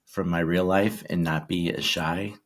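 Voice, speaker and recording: Man, forties, very low voice, husky, hesitant interview guest. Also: fairly even intonation. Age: 40s. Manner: shy, halting, many ums and restarts. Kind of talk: casual interview chat.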